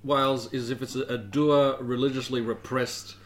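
A man's voice: mid-range voice, fairly even intonation, moderate pace. A man co-hosting a casual podcast, chatty and teasing, laughing often. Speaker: podcast co-host. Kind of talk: casual chat.

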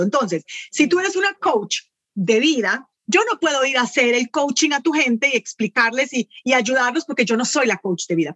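A woman with a Colombian accent mixed with Venezuelan words, a guest explaining virtual assistance with lively examples in a casual podcast chat. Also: sits high, dry recording.

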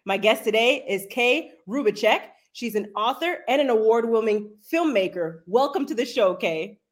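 A woman's voice: fairly high pitch, animated, moderate pace. A woman hosting a podcast, introducing her guest and asking questions in an interview style. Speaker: podcast host.

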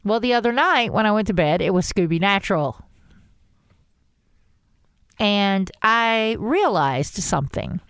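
A woman's voice: medium pitch, unhurried, pauses often, smooth, no room sound, studio quality. A woman co-hosting a podcast, chatting casually and animatedly about a TV episode. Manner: chatty, animated storytelling with rising exclamations. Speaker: podcast co-host. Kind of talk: casual fan discussion chat.

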